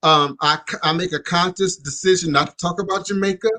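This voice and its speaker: Male radio host, casual chat, bright tense voice, slightly high, stammers and restarts. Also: brisk. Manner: reflective, many stammered restarts and "you know".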